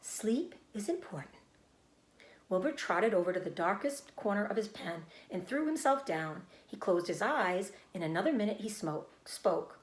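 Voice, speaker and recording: A woman's voice: medium pitch, animated, normal speed, few pauses, reverberant, poor audio. A woman narrating a children's story, reading aloud in scripted storybook style.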